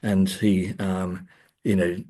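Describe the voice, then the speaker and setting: A man speaking as an academic interview guest, discussing books and films in a hesitant, thoughtful, halting way. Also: sits low, flat delivery, mellow. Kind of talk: conversational literary and film discussion.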